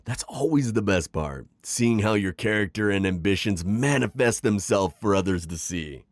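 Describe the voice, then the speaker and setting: A man's voice: fairly low pitch, dry recording, studio quality. A man playing a suave, manipulative magical villain mentor in an intimate ASMR roleplay, coaxing and teasing as he talks. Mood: probing, knowing. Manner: coaxing, smooth-talking, chuckling, drawn-out teasing phrasing. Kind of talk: ASMR roleplay acting, intimate one-sided dialogue.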